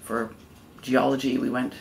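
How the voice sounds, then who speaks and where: A middle-aged man recalling his schooling in a gentle, meandering way. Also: mid-range voice, little pitch movement, a little slow, vocal fry, slight background noise. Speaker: interviewee. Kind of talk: casual recollection.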